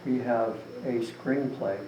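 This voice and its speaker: A man's voice: mid-range voice, takes it slowly, muffled recording. A man speaking casually as an author at a meeting, rambling with lots of "you know". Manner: rambling, filler-heavy, with frequent "you know". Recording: distant mic in an echoey room with some noise.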